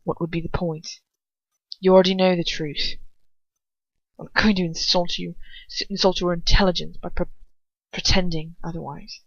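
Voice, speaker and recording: A woman puts on a stern, aristocratic wizard father from a fantasy story. He speaks coldly and calmly in a measured, controlled murmur. The recording is dry and a little muffled. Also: lowish voice, creaky, dry recording. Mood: cold resignation.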